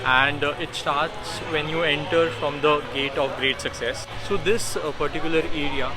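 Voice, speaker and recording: A man's voice: on the high side, steady tone, moderate pace. A man presenting a travel vlog, explaining sights like a guide, recorded outdoors with music behind him. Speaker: travel vlogger. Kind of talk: travel vlog narration to camera. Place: outdoors at tourist sites.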